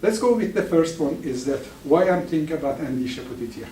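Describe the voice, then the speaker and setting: A man whose first language is Farsi gives an informal lecture to an audience, speaking haltingly and often restarting words. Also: on the high side, lively intonation, dark tone, slight background noise. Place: lecture room with live audience. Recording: echoey room, mic far off.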